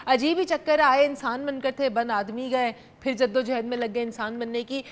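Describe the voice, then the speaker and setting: A woman's voice: on the high side, steady tone, moderate pace. A young woman with a Pakistani accent hosting a live call-in TV show, chatting freely in Urdu laced with English. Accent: Pakistani Urdu, mixing in English. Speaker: host. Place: TV studio.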